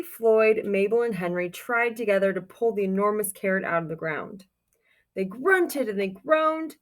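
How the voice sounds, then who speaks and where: Expressive woman reading aloud, very lively pitch. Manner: expressive, encouraging, exclaims softly over pictures. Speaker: teacher reading a picture book aloud to young children. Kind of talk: children's read-aloud with questions to listeners.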